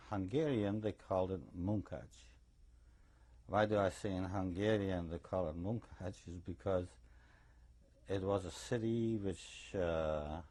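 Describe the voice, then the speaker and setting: An older man from eastern Czechoslovakia with an Eastern European accent, giving recorded testimony as an interviewee in a deliberate, anecdotal way. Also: low voice, mellow. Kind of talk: recorded oral history testimony.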